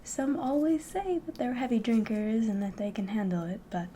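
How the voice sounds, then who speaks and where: A woman playing a friendly, curious innkeeper in an ASMR roleplay, speaking softly and politely, often slipping into a whisper. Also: on the high side, expressive, slight background noise, natural voice. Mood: hospitable, gently chatty. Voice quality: soft, breathy, often whispering. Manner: gentle, unhurried, polite, hospitable. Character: friendly, curious female innkeeper of a fantasy tavern.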